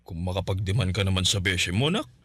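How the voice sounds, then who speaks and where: A man's voice: low-pitched, expressive, fast, fairly bright tone, no room sound. A man playing a boastful, manipulative middle-aged Filipino father in a radio drama, wheedling and self-righteous as he scolds. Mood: wounded, chiding.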